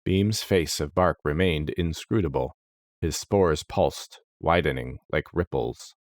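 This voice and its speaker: A man's voice: rumbling low, slow pace, dry recording, studio quality. A man reading scripted fantasy story narration.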